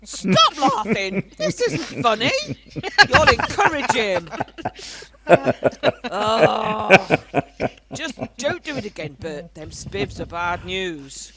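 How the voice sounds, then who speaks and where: A woman's voice: mid-range voice, big pitch swings, takes it slowly, long pauses, heavy background noise. A woman playing a scolding but fond older British grandmother in a wartime radio play, chiding in a colloquial way.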